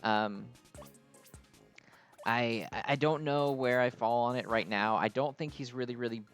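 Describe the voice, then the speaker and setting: A man hosts a game video in a mid-pitched voice over music. He talks casually and off the cuff, working through explanations in a hesitant, rambling way. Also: unhurried, a bit bright. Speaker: host of a mobile game video. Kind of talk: casual unscripted game commentary.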